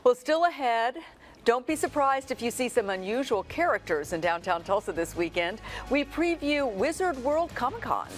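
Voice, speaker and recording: A woman co-anchoring a local evening newscast, reading teases and story intros crisply. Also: a little high, big pitch swings, normal speed, soft background music. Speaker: news co-anchor.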